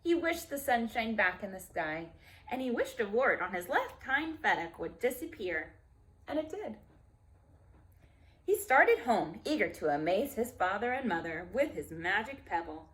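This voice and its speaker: A woman storyteller reading aloud to young children in a deliberate, emphatic way, with simple explanatory asides. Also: mid-range voice, sing-song, fairly bright tone.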